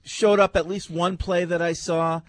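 A man's voice: high-pitched, little pitch movement, normal speed, no room sound, studio quality. A male sports radio host taking calls, agreeable and hedging.

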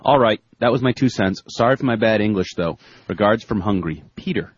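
Guy with a husky voice bantering on a football podcast, kinda dull dry sound. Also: lowish voice, fairly quick, no room sound. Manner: joking, teasing banter. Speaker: sports podcast co-host. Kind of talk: casual sports chat.

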